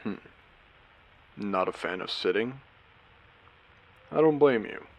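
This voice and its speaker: A man playing a courteous, eerie AI who hosts an abandoned post-apocalyptic casino in an audio drama, speaking theatrically with deliberate pauses. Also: lively intonation, full character voice, low-quality recording. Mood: suave, welcoming. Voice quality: low, creaky voice. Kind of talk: scripted character audio drama monologue.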